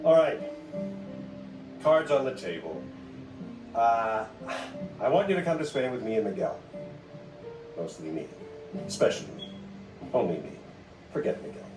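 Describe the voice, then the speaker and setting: Animated, anxious con artist. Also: male voice, medium pitch, roomy sound, distant mic, music in the background, rough recording. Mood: awkwardly earnest. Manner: hesitant, halting, self-correcting. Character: smooth-talking, anxious male con artist. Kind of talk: animated film voice acting.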